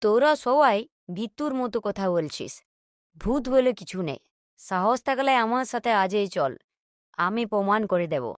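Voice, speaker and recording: A woman's voice: mid-range voice, animated, normal speed, no echo, clean studio sound, natural voice. A woman voicing a bold, skeptical village boy in a cartoon, with a defiant, cocky manner.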